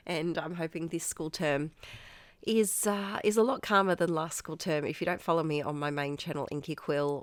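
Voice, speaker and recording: Low-voiced Australian woman, congested and stuffy, chatty rambling voiceover host, dry close recording. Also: no room sound. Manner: chatty, rambling, self-deprecating, laughs often. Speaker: art channel host. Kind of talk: casual voiceover narrating an art process.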